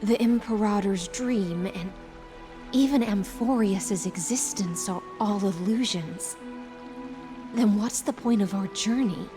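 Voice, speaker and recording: A woman voicing a poised, world-weary storyteller in a video game, theatrical and measured, with hushed phrase endings, over music. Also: medium pitch, natural voice. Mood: wistful. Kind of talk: dramatic video game narration and monologue.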